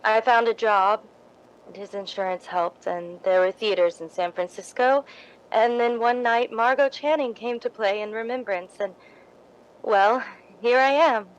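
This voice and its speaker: A woman's voice: on the high side, a little slow, lightly acted, rough recording. A woman as a humble, wistful young theater devotee. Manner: modest, hesitant, wistful storytelling. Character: soft-spoken, earnest, seemingly humble young female theater devotee. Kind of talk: recreated 1950s radio drama acting.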